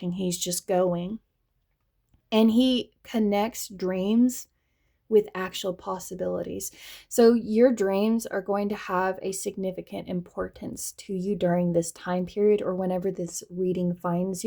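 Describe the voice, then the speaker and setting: Chatty tarot reader, light Southern accent. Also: female voice, medium pitch, dark tone. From Southern United States. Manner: chatty, addresses viewers directly, laughs often. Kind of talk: tarot reading video.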